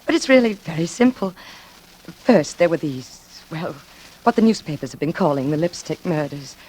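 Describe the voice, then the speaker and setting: A woman's voice: low-pitched, animated, normal speed, a little mellow, slight background noise, low-quality recording. An actress playing a genteel, nervous, lonely woman with wistful eagerness in a 1940s radio suspense drama. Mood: nervous, flustered. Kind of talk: 1940s radio suspense acting with confiding narration. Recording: vintage radio drama with music behind.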